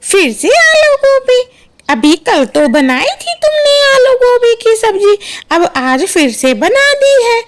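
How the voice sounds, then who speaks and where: A woman voicing a cranky, fault-finding older mother-in-law bird with a bossy, drawn-out cartoon delivery. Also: very high-pitched, sing-song, heavily acted. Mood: scolding, irritated.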